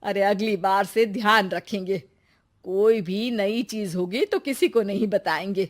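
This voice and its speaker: A woman's voice: medium pitch, a little slow, close to an everyday voice. Woman voicing a blunt, short-tempered young husband. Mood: easygoing. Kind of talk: Hindi cartoon story dialogue.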